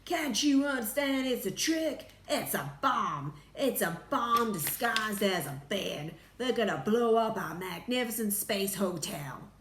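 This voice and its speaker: A woman voicing a gruff, irascible male President in a storybook, rasping and barking with pompous command. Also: measured pace, hardly any pauses, a bit bright, echoey room, a little hiss or hum. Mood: irritated. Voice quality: deep, rasping. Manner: snappy, barking.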